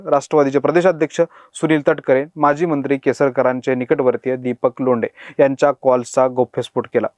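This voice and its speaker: A man's voice: on the high side, no room sound. A man presenting a scripted news explainer in an emphatic manner, posing rhetorical questions.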